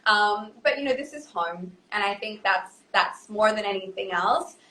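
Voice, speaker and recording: A woman in her thirties, who grew up in Indonesia, speaks as a guest psychotherapist on a live video call, thoughtful, with many fillers and soft laughs. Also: mid-range voice, normal speed. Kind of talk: casual live video chat. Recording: roomy echo.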